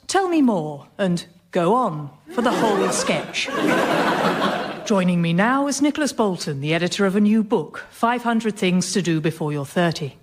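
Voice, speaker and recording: A woman's voice: mid-range voice, slight background noise, natural voice. A woman playing a wry, unimpressed presenter of a radio book programme in a comedy sketch. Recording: studio sketch with loud live audience.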